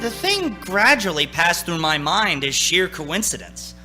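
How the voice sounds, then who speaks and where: A man's voice: high voice, sing-song, unhurried. An actor playing a haunted, anxious young man in a radio play, dramatic and nervously stammering. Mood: haunted, brooding. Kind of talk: radio play acting, narrating and in scenes. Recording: stage radio play with music.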